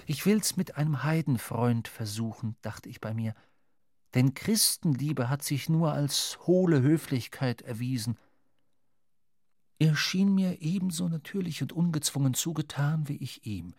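A man playing a reflective seafarer who narrates his adventure in measured, expressive literary German audio drama style. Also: on the high side, no room sound, studio quality. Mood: reflective, fond. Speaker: first-person narrator. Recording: clear ambient noise behind voice.

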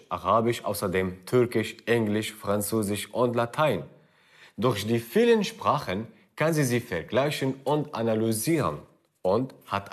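A man presenting a feature report and interviewing guests, speaking German with Kurdish as his first language. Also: lowish voice.

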